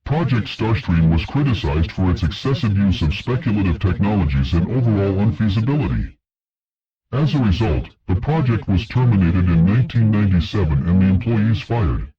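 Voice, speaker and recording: A man's voice: lowish voice, animated, moderate pace, warm tone, husky, dry recording. A man narrating a fictional government project report in a formal, detached, official manner. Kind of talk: scripted documentary narration, analog horror. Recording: dull lo-fi, radio-like narration track.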